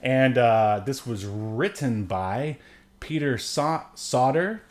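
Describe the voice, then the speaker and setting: Halting, casual man in his late thirties. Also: medium pitch, expressive, darkish tone, a little hiss or hum. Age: late 30s. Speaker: podcast co-host. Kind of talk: casual film review chat.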